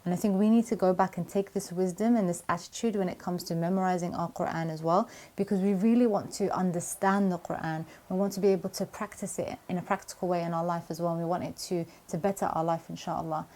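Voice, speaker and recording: A woman teaching Quran memorization, answering viewer questions in a calm, encouraging, measured way, with Arabic religious phrases woven in. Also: on the low side. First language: not Arabic. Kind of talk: Q&A video talk to camera.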